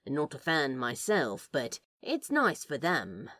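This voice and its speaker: A woman's voice: a bass voice, wildly swooping pitch, takes it slowly, a bit bright, dry recording, clean studio sound, heavily acted. A woman voicing a skeptical, awkward cool man in a fan fiction audio drama, wry, casual and a little hesitant. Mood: reluctant.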